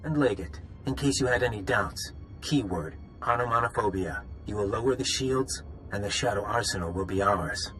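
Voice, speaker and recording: An actor playing a methodical squad leader in a video game, briefing operatives with measured authority. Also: male voice, on the low side, fairly bright tone, soft background music, natural voice, rough recording. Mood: cool, controlling.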